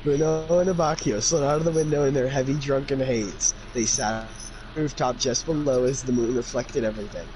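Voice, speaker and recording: A man's voice: medium pitch, fairly even intonation, a little fast, darkish tone. Jokey young man on a noisy recording. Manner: jokey, easily sidetracked, swears. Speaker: amateur fan fiction reader. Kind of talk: casual comedic read-aloud with joking asides. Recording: noisy amateur recording with room ambience.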